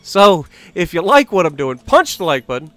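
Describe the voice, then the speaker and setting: A man's voice: high voice, sing-song, moderate pace, a bit bright, no echo. An American man telling a rambling personal story as he casually commentates over gameplay in a conversational way. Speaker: gaming video host.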